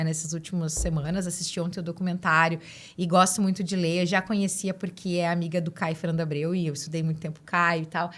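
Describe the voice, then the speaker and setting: A woman chatting casually as a podcast host, thinking aloud as she goes. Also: lowish voice. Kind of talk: casual podcast chat about books.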